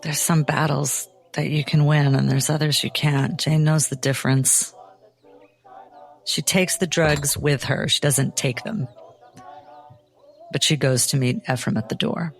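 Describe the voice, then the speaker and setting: A woman's voice: low-pitched, steady tone, a little slow, rough recording. A woman playing a tabletop roleplay game, narrating her character's actions. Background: background music.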